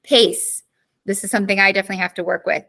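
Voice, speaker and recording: A woman teacher recaps her lesson in a casual, chatty way. She uses plenty of fillers and quick asides, and her voice is bright and crisp. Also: medium pitch.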